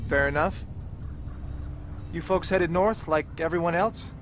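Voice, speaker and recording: An actor playing a friendly, talkative and wry wanderer in a video game. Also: male voice, on the high side, measured pace, fairly bright tone, slight background noise. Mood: wry, sociable. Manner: easygoing, wry.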